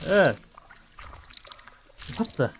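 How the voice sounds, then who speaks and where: A man's voice: drawn-out words, slight background noise. A man from the Philippines streaming a game, reading narration aloud in a dramatic storytelling way and reacting to it.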